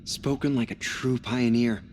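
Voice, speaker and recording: An actor playing a wry, joking younger astronaut in a video game, talking in a casual, teasing way. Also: male voice, medium pitch, steady tone, unhurried, a little mellow, lightly acted. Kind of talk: cinematic video game acting.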